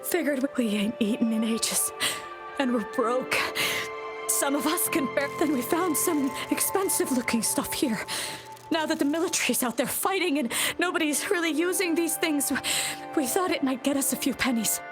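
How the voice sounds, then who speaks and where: A woman doing a high wheedling refugee thief. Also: normal speed, natural voice. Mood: pleading. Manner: pleading, slangy, wheedling. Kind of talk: video game voice acting. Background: music.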